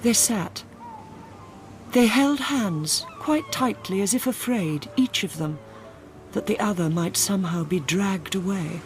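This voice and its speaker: An Englishwoman narrating a radio drama in a dry, measured, literary storytelling manner. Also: medium pitch, soft background music, natural voice.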